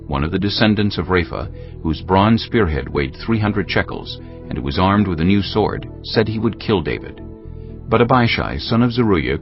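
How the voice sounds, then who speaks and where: Deep voiced guy narrating the bible, solemn but lively intonation, dull audio with faint music. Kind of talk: scripted dramatic Bible narration. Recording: lo-fi, music underneath.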